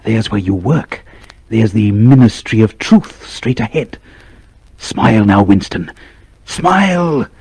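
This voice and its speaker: A man playing a clerk's sly inner alter ego in a radio drama, breathy, insinuating and goading. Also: on the low side, expressive, takes it slowly, a little mellow, full character voice. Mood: urgent warning.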